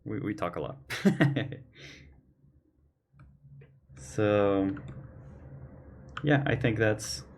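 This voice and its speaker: A man hosting a painting livestream, slightly hoarse from losing his voice, speaking earnestly and thinking aloud as he works. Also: lowish voice, expressive, extremely slow, long pauses, a little hiss or hum. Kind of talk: casual chat while painting. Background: faint keyboard and mouse clicks.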